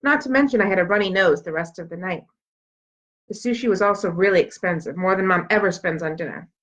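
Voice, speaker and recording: A woman reading a children's book aloud as an expressive storyteller. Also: on the low side, fast. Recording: dull, lo-fi sound.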